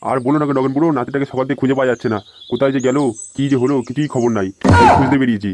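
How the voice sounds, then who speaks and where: Casting: male actor as excitable, cursing cartoon grandfather, very fast delivery, noisy recording. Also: medium pitch, lightly acted. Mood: worried. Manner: scolding, blunt, excitable. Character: fretful, foul-mouthed grandfather hunting his missing grandson. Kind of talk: amateur comedy cartoon voice acting. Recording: noisy home-made cartoon dub.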